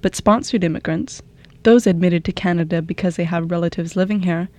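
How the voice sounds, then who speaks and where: Woman narrator, lowish warm voice, measured scripted read, dry studio sound. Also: no echo. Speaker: program host and reporter. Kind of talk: scripted radio documentary narration.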